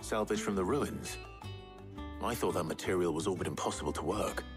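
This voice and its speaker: A man plays a stoic hero in a fantasy game, dependable and dryly obliging. His voice is very low, with terse, measured phrasing. Music plays clearly behind him. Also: lightly acted. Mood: calm, inquiring. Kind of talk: video game voice acting.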